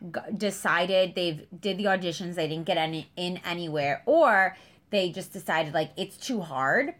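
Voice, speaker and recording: A woman in her thirties hosts a relaxed podcast conversation in a somewhat low voice. She talks thoughtfully and works her way toward her point. She often restarts a sentence before finishing it. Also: normal speed. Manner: thoughtful, searching for words, many restarts. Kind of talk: casual podcast chat.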